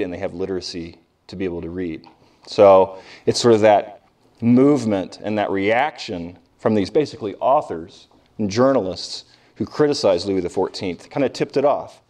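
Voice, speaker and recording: A man's voice: lowish voice, normal speed, a little mellow. An American man giving an informal history lecture as a professor, conversational and often putting questions to his class.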